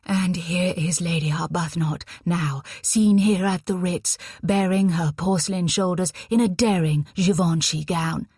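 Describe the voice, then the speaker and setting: A woman voicing a bubbly, cheeky young wartime nurse who talks briskly and teases her friend. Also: fairly low pitch, creaky, breathy edge, no room sound, clean studio sound. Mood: posh mimicry. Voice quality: brisk, teasing, exuberant.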